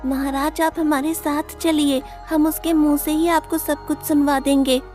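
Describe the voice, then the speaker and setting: A woman puts on a little boy bird for a cartoon tale. The voice is pitched very high, childlike and earnest, with polite, clever delivery. Music plays behind it. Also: level tone, normal speed, a little mellow, natural voice. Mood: earnest, confident. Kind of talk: Hindi children's cartoon story acting.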